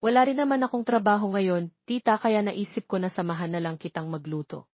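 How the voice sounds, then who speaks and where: A woman's voice: medium pitch, fairly quick, no echo, natural voice. Reader lady doing a sweet trusting young woman, polite, cheerful, audio drama, dry and a bit muffled. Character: friendly, trusting young woman, devoted daughter and friend.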